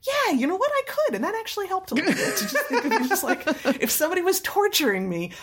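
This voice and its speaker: A woman with a slightly scratchy voice, telling personal stories in a casual, animated, laughing way. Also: very high-pitched, fast. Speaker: storyteller in a chat. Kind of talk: casual anecdotal chat.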